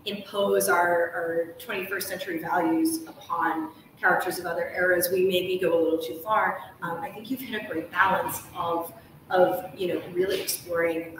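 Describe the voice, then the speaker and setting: Echoey, bright-voiced woman hosting an interview. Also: fairly low pitch. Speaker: host and interviewer. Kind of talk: live on-stage style book interview, conversational. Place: large ornate hotel room, indoors. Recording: echoey room, distant mic, faint noise.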